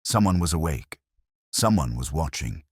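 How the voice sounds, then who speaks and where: Guy playing a brooding detective inspector, super deep voice, close dry sound, telling his case slow and thoughtful like an audio mystery. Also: sing-song, slightly rough, dry recording, heavily acted. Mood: brooding, reflective. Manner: measured, reflective, literary. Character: brooding, observant English male police inspector narrating his case. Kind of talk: scripted first-person detective story narration.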